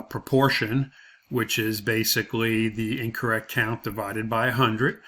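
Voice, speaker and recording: A man's voice: lowish voice, bright, forceful tone. A man giving an off-the-cuff tutorial as an instructor, explaining step by step and rephrasing his points. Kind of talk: off-the-cuff tutorial walkthrough of a spreadsheet.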